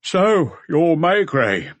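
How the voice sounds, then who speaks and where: A weary, blunt hotel keeper, acted by a male narrator for a crime audiobook. The voice is pitched up from a low register and sounds close and dry, answering in short, gruff phrases. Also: sing-song, very slow, no room sound, heavily acted. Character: unshaven, tired, gruff male hotel owner.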